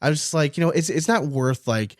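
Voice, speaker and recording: A man's voice: a little high, fairly quick, no echo, clean studio sound. A man hosting a podcast in casual, informal chat, speaking emphatically. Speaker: podcast host.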